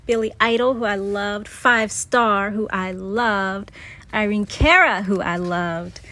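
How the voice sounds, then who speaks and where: A woman's voice: mid-range voice, slow pace, sharp tone. A middle-aged woman casually reminiscing as she narrates, like a vlogger going through keepsakes.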